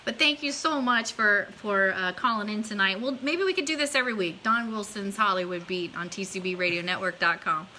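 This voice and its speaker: A woman's voice: mid-range voice, a little fast, few pauses, bright, forceful tone, a little hiss or hum. A woman casually hosting a live radio show, gushing and effusive as she talks to a guest. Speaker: radio show host and network founder.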